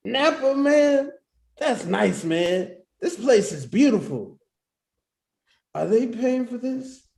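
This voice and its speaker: A man's voice: very high-pitched, sing-song, slow pace, creaky, darkish tone, full character voice. A man acting a brash, teasing relative of a groom in a comedy table read, casual and slangy, in an echoey room. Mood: suspicious.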